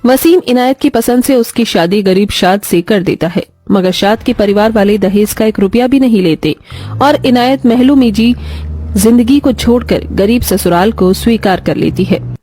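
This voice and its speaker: A woman's voice: medium pitch, dark tone, no echo. A woman narrating a scripted moral story as a storyteller. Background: faint music.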